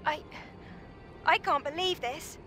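Very high, bright young engineer girl. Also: slow pace, soft background music, full character voice. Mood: shocked. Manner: emotive, earnest, girlish. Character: bright, excitable young female engineer, loyal and earnest. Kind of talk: video game voice acting.

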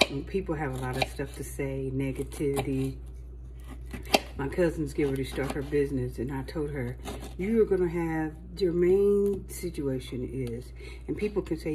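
A woman's voice: rumbling low, background noise. A middle-aged woman talking casually and encouragingly while prepping food at her kitchen counter, filmed on a phone. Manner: talkative, encouraging, chatty while chopping vegetables. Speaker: home cooking vlogger. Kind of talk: casual chat while cooking. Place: home kitchen counter at night, indoors.

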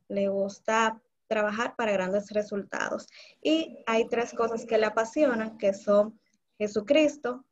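A woman's voice: a little high, darkish tone. A woman hosting a government webinar, giving a polite, formal introduction over a video call. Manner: polite, announcing. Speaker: webinar host. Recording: dull lo-fi video call audio.

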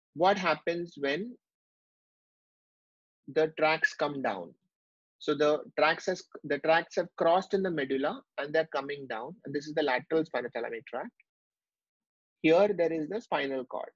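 A man's voice: fairly high pitch, lively intonation, measured pace, pauses often, breathy edge, no room sound. Man teaching medicine. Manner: explanatory, with frequent fillers and restarts. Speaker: medical lecturer. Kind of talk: whiteboard teaching lecture. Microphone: online class recording. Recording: dull lo-fi dry sound.

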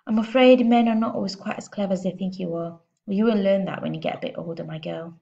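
A woman voicing a shrill, exasperated middle-aged mother who scolds and shrieks in a storybook. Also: fast, vocal fry, dark tone, highs cut off, lightly acted. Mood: exasperated, cutting.

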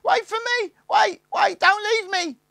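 Little kid in a storybook screaming and wailing, squeaky high. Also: male voice, a little slow, gravelly, bright, forceful tone, no room sound, clean studio sound, exaggerated voice. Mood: terrified. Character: youngest Snargit, small frightened boy.

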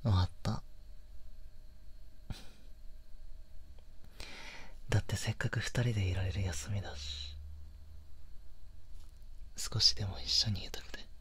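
A man acting an affectionate, clingy young boyfriend in an intimate roleplay audio drama, speaking softly and breathily, often near a whisper. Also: fairly low pitch, fairly even intonation, breathy edge, full character voice. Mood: gentle, affectionate. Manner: slow, tender, coaxing. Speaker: online voice actor.

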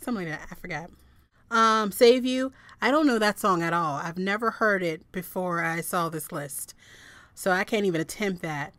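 A young woman chatting casually to her webcam in a small room, laughing at herself and breaking into bits of song, with a low register voice. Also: moderate pace, a bit bright, no echo. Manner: giggly, self-deprecating, breaks into song. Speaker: vlogger, singer. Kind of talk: casual chat to camera, singing snippets.

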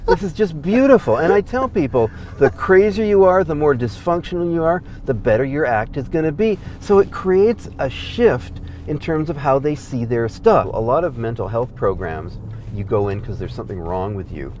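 A man's voice: a little high, lively intonation, moderate pace, darkish tone, slight background noise. Earnest man talking in a car. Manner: earnest, explanatory, laughs easily. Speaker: guest, comedy program founder. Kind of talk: conversational interview. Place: inside a moving car.

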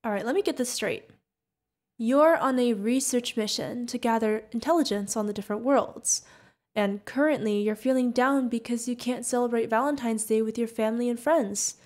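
A woman's voice: fairly high pitch, normal speed, darkish tone, natural voice. A woman playing a sweet, curious, friendly feathered alien bartender in an ASMR roleplay, chatting directly to the listener. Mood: friendly, chatty.

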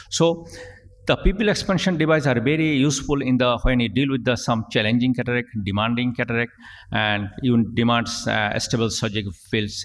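Halting man lecturing in a reverberant hall. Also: mid-range voice, a bit bright. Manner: halting, frequent fillers and restarts, reading at a lectern. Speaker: eye surgeon giving a conference talk. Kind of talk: technical lecture narrating surgical video. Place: large conference hall, at a podium. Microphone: podium gooseneck microphone.

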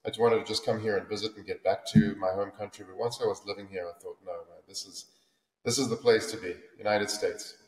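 A man in his forties with a South African accent, telling his story as a guest in a casual interview over a video call, with many restarts and fillers. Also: low voice. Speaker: guest, luxury home builder. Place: home office, indoors. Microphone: video call webcam. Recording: roomy echo, far from mic.

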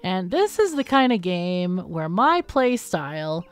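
A woman's voice: lowish voice, big pitch swings, a little slow, clear, resonant tone, faint music behind. A woman hosting a gaming video with casual let's-play commentary, explaining and thinking aloud as she plays.

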